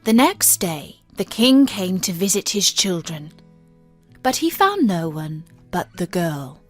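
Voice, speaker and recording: A woman narrates a scripted fairy tale at a slow pace with music behind her. Her reading is measured and careful, in the manner of a storybook audiobook. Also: on the low side, very animated. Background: background music.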